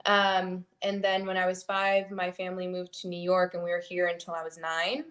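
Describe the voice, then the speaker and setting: A woman's voice: on the low side, monotone, normal speed, fairly bright tone. Young woman guest, laughing and hesitant. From Florida and Dominican Republic. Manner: laughing often, hesitant with many ums. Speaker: interview guest, model and singer. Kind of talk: casual interview chat.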